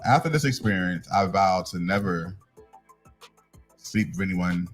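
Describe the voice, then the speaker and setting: A man's voice: deep voice, unhurried, faint music behind. A man in his thirties co-hosting a podcast, blunt and teasing in casual slangy talk. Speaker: podcast co-host. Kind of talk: casual chat.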